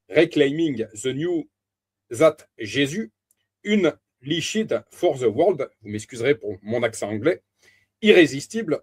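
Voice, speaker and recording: A man reading a Bible teaching aloud as a preacher, in a measured, deliberate way with emphatic stresses. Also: on the high side, darkish tone, husky.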